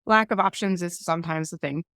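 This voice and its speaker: A woman's voice: fairly low pitch, a little fast, fairly bright tone, smooth, dry recording, studio quality. A woman hosting a faith podcast, talking casually and hesitantly, with frequent restarts and trailing thoughts. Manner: chatty, hesitant, restarts often. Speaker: podcast host.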